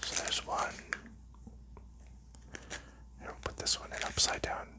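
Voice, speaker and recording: Guy whispering asmr, soft breathy whisper, chatty and chill, talks thru every little step. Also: unhurried. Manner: relaxed, chatty, narrating each small step with fillers. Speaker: ASMR channel host. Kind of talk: whispered ASMR board game sleeving and packing.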